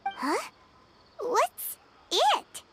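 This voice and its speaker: A woman voicing a tiny, excitable childlike game companion with a squeaky voice. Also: extremely slow, music in the background, heavily acted. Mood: puzzled. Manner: exclamatory, wide-eyed. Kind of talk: video game character voice acting.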